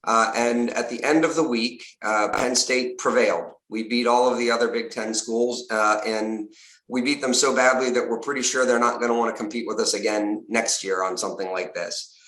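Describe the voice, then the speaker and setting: Slightly hoarse man on a video call. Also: mid-range voice, steady tone, normal speed, fairly bright tone. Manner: earnest, upbeat, frequent uh fillers. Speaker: university fundraising executive. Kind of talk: prepared remarks at a virtual donor event. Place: home or office on a video call. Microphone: video call mic. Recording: roomy video call audio.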